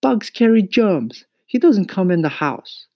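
A man's voice: high voice, sing-song, unhurried, darkish tone, no echo, clean studio sound, voice pushed up, heavily acted. A man voicing a stern, scornful mother in a storybook, scolding emphatically in stilted immigrant phrasing. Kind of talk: acted storybook reading.

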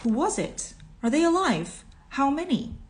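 A woman voicing excited, hostile city bystanders in a storybook with clipped exclamations. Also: medium pitch, slow.

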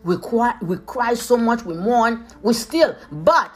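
A woman's voice: a little high, lively intonation, takes it slowly, faint music behind. A woman with a Haitian accent giving an emphatic motivational talk, repeating phrases and calling her listeners leaders. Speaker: motivational speaker.

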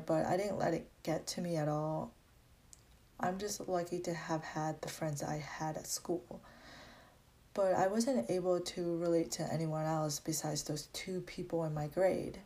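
A woman's voice: lowish voice, dark tone. A young woman with an American accent, a college student reflectively telling her personal story. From grew up in Massachusetts, US, born in Vietnam. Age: young adult.

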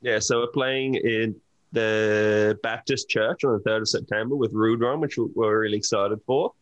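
A man's voice: fairly low pitch, steady tone, moderate pace, dry recording, lo-fi sound. A man with an Australian accent chatting casually as a band member being interviewed. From Brisbane, Australia. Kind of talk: casual chat.

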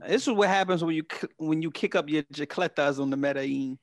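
A man co-hosting a podcast, teasing the host and laughing through drawn-out banter. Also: fairly high pitch, dry recording, clean studio sound. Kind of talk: casual chat.

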